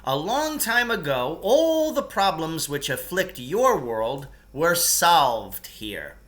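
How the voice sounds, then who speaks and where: Bright, higher-voiced man reading a storybook. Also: very animated, slow pace. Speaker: reader of a children's novel. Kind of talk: storybook read-aloud narration.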